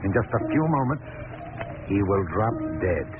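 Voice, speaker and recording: An actor playing a polite, measured, menacing criminal chemist in a vintage radio drama. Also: male voice, fairly low pitch, expressive, lightly acted. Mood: cool, gloating. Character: smooth, sinister male criminal chemist, coolly plotting murder. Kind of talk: 1940s radio acting. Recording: noisy, tinny vintage radio recording.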